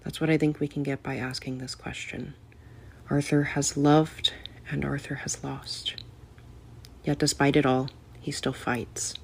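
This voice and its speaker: A man's voice: medium pitch, vocal fry. A man reading out an earnest, eloquently phrased prepared statement as a listener's voice message.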